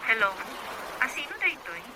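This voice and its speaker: A woman's voice: sits high, sing-song, moderate pace, background noise. A woman playing a brazen, taunting mistress in an Ilocano radio drama, defiant and defensive.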